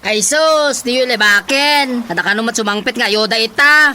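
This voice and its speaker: A woman's voice: a little high, normal speed, bright, forceful tone, slight background noise. A woman playing an eager, pushy village woman with an Ilocano accent in a radio comedy drama, speaking insistently. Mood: explaining.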